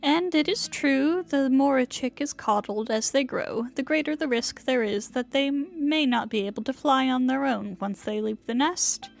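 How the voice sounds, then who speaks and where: A proud, motherly immortal lady from a video game, high and refined. She speaks in formal, old-fashioned phrases with a lofty air. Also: moderate pace, gravelly, few pauses. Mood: thoughtful, advising.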